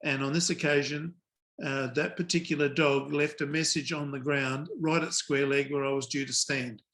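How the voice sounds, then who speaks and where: An older man tells anecdotes as a podcast guest. His voice sits a touch high for a man, and his humour is dry and understated. He speaks at a measured pace with frequent small pauses. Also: little pitch movement, a bit bright. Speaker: guest, former cricket umpire. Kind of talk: relaxed storytelling in a podcast interview.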